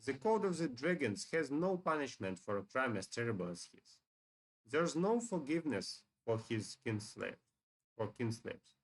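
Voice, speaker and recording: A man's voice: mid-range voice, big pitch swings, moderate pace. Man reading as a solemn young dragon seeking vengeance. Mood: grim.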